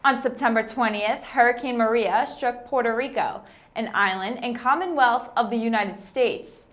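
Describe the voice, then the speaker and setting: A woman's voice: a little high, moderate pace, over music. A woman reading a scripted introduction as a news anchor.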